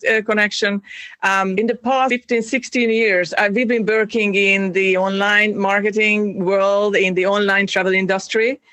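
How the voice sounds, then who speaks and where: Chatty woman, bright voice. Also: mid-range voice, dry recording. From Hungary. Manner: chatty, laughs often, many fillers and restarts. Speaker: podcast guest. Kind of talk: casual interview talk.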